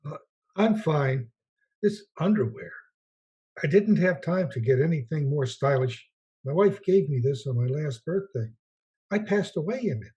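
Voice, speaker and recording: A man playing a husky, gentle apprentice angel. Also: fairly high pitch, expressive, measured pace, full character voice. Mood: earnest, flustered. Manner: earnest, quaint and polite. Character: gentle, eager, naive male apprentice guardian angel. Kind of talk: 1940s-style radio play acting.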